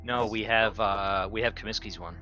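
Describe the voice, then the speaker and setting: Male player, low voice, blunt casual planning, slightly dull audio with prominent music. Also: normal speed, bright, forceful tone. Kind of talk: casual tabletop game talk.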